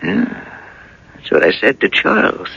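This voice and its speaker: Fretful older father, gravelly, swooping pitch. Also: huge pitch swings, sharp tone, full character voice. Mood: troubled. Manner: halting, fretful, musing. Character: worried, fretful older father of a grown daughter. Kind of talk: radio drama acting. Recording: dull, lo-fi old radio recording.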